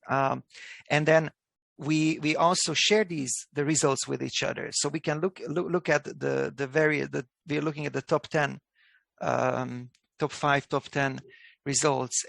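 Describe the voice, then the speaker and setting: Man, Hungarian accent, non-native English, gravelly voice, fairly high pitch, halting with restarts. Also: a bit bright, no echo. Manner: halting, many restarts, thinks aloud. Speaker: host.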